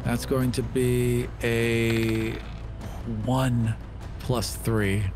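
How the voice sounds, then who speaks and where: A man with a mid-pitched voice plays a tabletop role-playing game. He talks casually, describing his character's moves, with faint music behind him. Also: flat delivery, extremely slow. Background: music under the voice.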